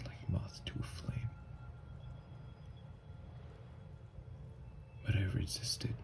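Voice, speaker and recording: A man playing a tormented, lovestruck young vampire in an intimate ASMR roleplay, speaking in a slow, halting, hushed murmur. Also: sits low, creaky, noisy background. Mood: haunted, brooding. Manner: slow, halting, hushed confession with long pauses. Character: tormented, lovestruck newly turned male vampire, confessing tenderly. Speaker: ASMR voice actor.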